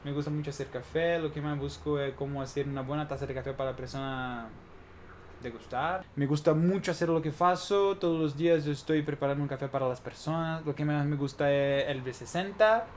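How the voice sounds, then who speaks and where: Informal interviewer with a mid pitch, casually guiding the shoot. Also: brisk, a little hiss or hum. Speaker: interviewer and barista. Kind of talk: casual behind-the-scenes chat.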